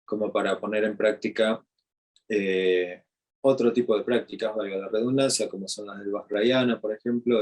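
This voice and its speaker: A man with an Argentine Spanish accent leads a meditation class and explains his ideas. His voice sits fairly low. He talks at a slow, halting pace, full of pauses, fillers and restarts. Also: darkish tone. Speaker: meditation teacher. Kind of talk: unscripted teaching talk.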